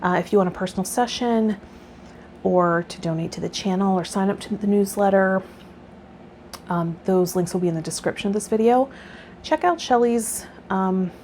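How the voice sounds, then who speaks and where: A woman's voice: on the low side, darkish tone, slight background noise. A woman speaking as a tarot reader and spiritual coach, moving between reading aloud and casual guided talk to her viewers. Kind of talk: tarot lesson, partly read aloud, with guided meditation and casual vlog talk.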